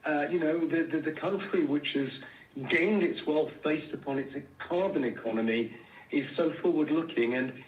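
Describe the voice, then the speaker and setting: A man answers questions as a company executive on a conference call. He phrases things earnestly and deliberately, often repeating small words. The sound is muffled and echoey, set well back from the microphone. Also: on the high side, recorded from a distance.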